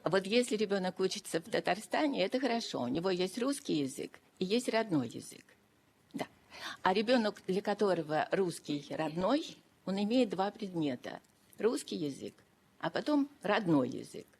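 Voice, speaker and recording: A woman giving formal remarks at an official meeting, deliberate and emphatic, repeating key phrases for weight. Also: mid-range voice, expressive, a little mellow, slightly rough. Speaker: education expert addressing a council. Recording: noisy videoconference audio.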